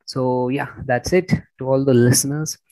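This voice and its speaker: A man's voice: medium pitch, steady tone, normal speed, mellow, dry recording, poor audio. Man hosting, dry close sound. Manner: repeats words and phrases often. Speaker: podcast host interviewing a guest. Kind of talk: conversational interview hosting.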